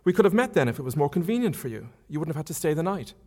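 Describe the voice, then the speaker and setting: A man's voice: fairly high pitch, sing-song, very fast, mellow, voice pushed up. A man reading aloud who voices a forthright, chatty young woman with a lifted, lilting tone and emphatic questions. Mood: brisk. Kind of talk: author reading a novel aloud.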